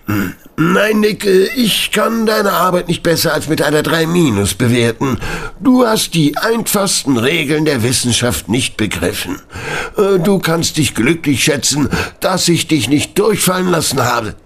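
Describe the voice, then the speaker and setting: A man playing a hesitant professor, lively intonation. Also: on the high side, a little slow, heavily acted. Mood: flustered, stern. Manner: hesitant, hemming, academic. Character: nervous, evasive male university professor and cryptozoology enthusiast. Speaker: actor. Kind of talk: audio drama acting.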